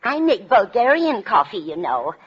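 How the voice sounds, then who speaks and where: A woman's voice: medium pitch, very animated, normal speed, breathy edge. A woman in an old radio comedy, playing a kindly, scatterbrained older landlady with a fluttery, chatty manner. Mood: chatty, solicitous. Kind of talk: 1940s-50s American radio comedy acting. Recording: dull, noisy old radio recording.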